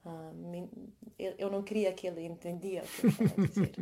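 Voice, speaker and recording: A woman's voice: lowish voice, fairly even intonation, fairly quick, dark tone. A woman with a mixed Mozambican Portuguese accent, a singer being interviewed, chatting casually and often searching for her words. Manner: hesitant phrasing, searching for words. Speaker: interview guest, singer in a band.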